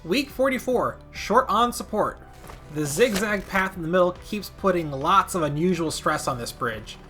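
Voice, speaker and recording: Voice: medium pitch, expressive, moderate pace, bright, forceful tone, faint music behind. A video game commentator narrating a scripted gaming video with wry, playful asides.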